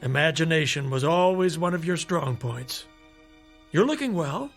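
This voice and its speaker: A kindly doctor in a radio play, reassuring and a little skeptical. His voice sits high for a man and moves expressively up and down. He soothes and gently teases, with music playing underneath. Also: lively intonation, normal speed. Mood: genial, teasing. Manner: soothing, lightly teasing bedside manner. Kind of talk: modern radio drama acting.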